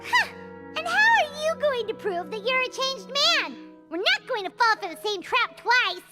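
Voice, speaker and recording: A small excitable fairy companion from a video game. The childlike voice is squeaky, extremely high and swoops dramatically. Loud playful music plays underneath. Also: female voice, normal speed. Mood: indignant, suspicious. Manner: exclamatory, dramatic.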